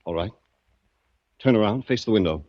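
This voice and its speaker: A man's voice: on the low side, lively intonation, moderate pace, dry recording, natural voice. An actor playing a menacing, impatient gunman in a 1940s radio drama, curt and demanding. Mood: threatening. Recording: muffled vintage 1940s radio recording.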